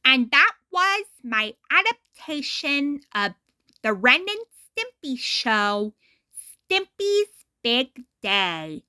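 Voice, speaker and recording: A woman reading a story aloud as an amateur storyteller, deliberate and expressive. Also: high voice, no echo, clean studio sound. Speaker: amateur storyteller reading their own adaptation. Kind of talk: storybook read-aloud narration.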